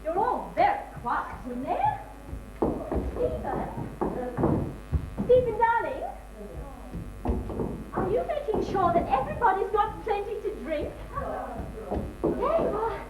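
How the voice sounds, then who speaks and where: A woman playing a flustered, very high-pitched hostess. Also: big pitch swings, slow pace, long pauses, heavily acted. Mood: bright, flustered. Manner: coaxing, bustling, projected to an audience. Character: flustered, placating married hostess at a dinner party. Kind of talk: amateur stage comedy acting. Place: small theatre stage. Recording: echoey stage recording, audience noise, hum.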